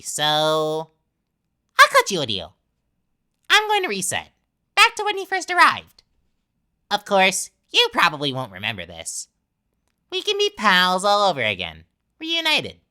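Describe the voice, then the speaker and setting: A woman's voice: low-pitched, pushed and bright, clean tone, heavily acted. A woman voicing a manic, childish talking flower villain from a video game, theatrical and mocking, swinging between cutesy and menacing. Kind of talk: dramatic game villain monologue voice acting.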